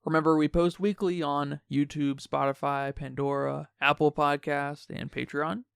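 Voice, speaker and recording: A man's voice: on the high side, flat delivery, moderate pace, vocal fry, a bit bright, clean tone, dry recording, studio quality. A man hosting a podcast, casually discussing a film and addressing his listeners. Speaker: podcast host.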